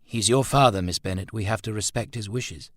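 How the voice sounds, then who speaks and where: A man voicing a reserved young gentleman who speaks in a courteous, restrained way. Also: fairly low pitch, fairly quick, no room sound, studio quality, close to an everyday voice.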